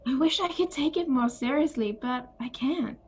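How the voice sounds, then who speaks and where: A woman playing a calm, wry older sister and former literature teacher in an audio play. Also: high-pitched, moderate pace, lightly acted. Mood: content, wry.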